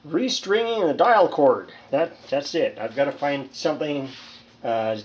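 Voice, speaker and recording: A man giving a casual hands-on repair demonstration, rambling and halting as he thinks aloud. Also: fairly high pitch, lively intonation, highs cut off. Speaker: hobbyist radio repairer, presenter.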